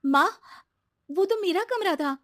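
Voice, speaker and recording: Moral-story young wife, played by a woman: high-voiced, polite, earnest and dutiful, slightly pleading. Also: animated, takes it slowly, a bit bright, no room sound, studio quality, full character voice. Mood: hurt, pleading. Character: Mansi, earnest dutiful young daughter-in-law. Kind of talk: animated Hindi moral story dialogue.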